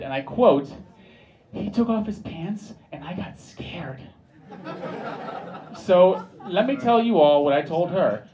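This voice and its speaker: A man's voice: a little high, a little mellow, highs cut off. Wry American man doing stand-up. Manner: dry, wry delivery with mock-sincere asides. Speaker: stand-up comedian. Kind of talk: stand-up comedy set. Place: pub comedy stage with a live audience. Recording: live pub recording, crowd laughing. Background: audience laughter.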